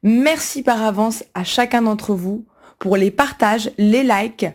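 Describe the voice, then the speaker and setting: A woman presenting the channel, reading a courteous thank-you announcement to supporters in a steady manner. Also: fairly high pitch, slow pace. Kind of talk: read announcement.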